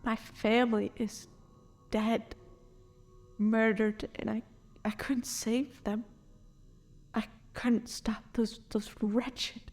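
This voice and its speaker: A distraught noblewoman in an audio drama, speaks in a high, slow voice over music. She stammers and halts, anguished and frightened, yet holds on to a defiant pride. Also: flat delivery, a little mellow. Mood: grief-stricken. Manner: halting, stammering, anguished delivery.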